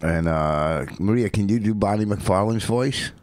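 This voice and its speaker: A man's voice: lowish voice, unhurried, darkish tone, dry recording. A man co-hosting a podcast, teasing and rambling in casual banter. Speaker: podcast co-host, comedian.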